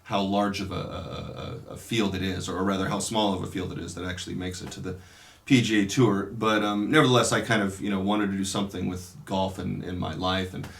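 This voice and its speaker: A man from California, a Dominican priest, telling his life story in a casual interview, reflective and unhurried, with many pauses and restarts. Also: low-pitched, fairly even intonation, vocal fry.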